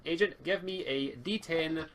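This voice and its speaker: A man improvising casual narration as game master of a tabletop roleplay, in a halting way. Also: on the high side, fairly bright tone, a little hiss or hum.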